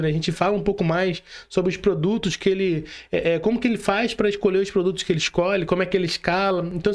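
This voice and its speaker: A Brazilian man speaking casually as a podcast host, in informal, slangy talk addressed straight to his listeners. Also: high voice. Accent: Brazilian Portuguese.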